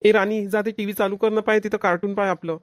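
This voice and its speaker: A close, dry recording of a woman as a bossy cartoon boy with a medium-pitched voice. The selfish older brother talks in a domineering, scolding way with a Vidarbha Marathi accent. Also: steady tone, fast talker, dry recording, close to an everyday voice. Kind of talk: amateur cartoon comedy dialogue.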